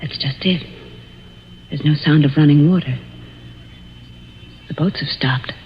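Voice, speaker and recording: A woman's voice: deep voice, measured pace. An actress playing a worried, caring young woman, earnest and dramatic in the 1940s radio style. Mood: hushed fear. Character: anxious, caring young woman confiding with a hunted man. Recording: dull, noisy old 1940s radio recording.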